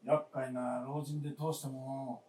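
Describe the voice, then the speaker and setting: A man's voice: mid-range voice, flat delivery, measured pace, a little mellow, lightly acted. Actor as a stubborn old father, roomy distant sound. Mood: weary, resigned. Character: stubborn, weary old father living alone, hard of hearing. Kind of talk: live radio drama acting. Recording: roomy stage recording from afar.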